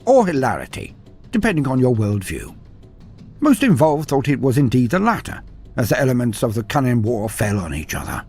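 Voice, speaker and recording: A man's voice: medium pitch, wildly swooping pitch, a little fast, a little mellow, breathy edge, faint music behind. A man giving scripted dramatic narration of fantasy war lore in a theatrical, wry storybook manner.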